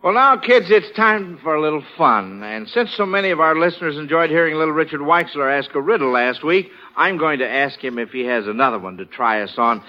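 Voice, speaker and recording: A man's voice: fairly high pitch, lively intonation, a little fast, few pauses, muffled recording. An American man hosting a 1940s radio quiz show in a folksy, exclamatory manner, on an old recording.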